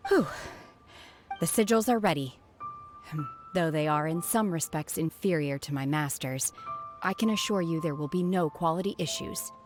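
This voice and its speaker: An actress playing a reserved young woman raised apart from people, speaking in a calm, measured, formal way. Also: low-pitched, close to an everyday voice. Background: soft game music.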